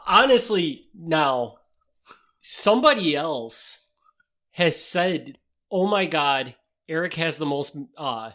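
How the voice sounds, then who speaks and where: A man with a Northeast Wisconsin accent, co-hosting a podcast in relaxed, casual chat and often doubling his words as he starts a thought. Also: a little high, slow pace. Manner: laid-back, chuckles often, repeats words when starting. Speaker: podcast co-host.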